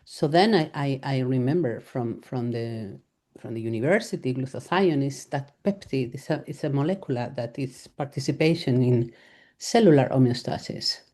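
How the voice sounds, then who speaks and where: Spanish-accented woman, low mellow voice. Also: lively intonation, moderate pace. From Spain. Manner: hesitant, many fillers and restarts, laughs lightly. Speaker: veterinarian giving a lecture. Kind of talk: live lecture.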